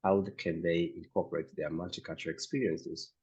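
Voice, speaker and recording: A man whose first language is Swahili, interviewing a guest with halting, searching questions. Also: low voice, level tone, darkish tone, husky. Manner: halting, searching for words, many restarts. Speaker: interviewer, host. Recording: slightly dull lo-fi sound.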